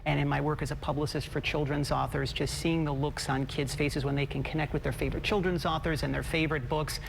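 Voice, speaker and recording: Upbeat man with a gravelly edge. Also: a little high, flat delivery, fast talker, background noise. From Buffalo, New York. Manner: earnest, upbeat, heartfelt. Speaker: guest, publicist for children's authors. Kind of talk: live TV morning show interview. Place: TV studio.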